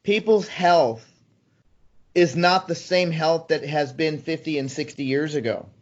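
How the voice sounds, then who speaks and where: A man in his early sixties speaks with eager, persuasive energy as an interview guest, his voice sitting a touch high for a man. He often breaks off into ums and restarts. The recording sounds slightly dull and muffled. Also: a little slow. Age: 60s. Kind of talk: conversational interview answers.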